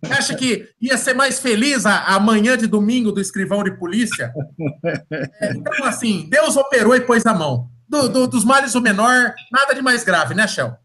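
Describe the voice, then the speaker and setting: A man hosts a running podcast with a high, bright voice. He jokes and swears freely, rambling and circling back over the same phrases. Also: animated, moderate pace, a little hiss or hum. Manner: joking, profane, rambling. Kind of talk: casual chat.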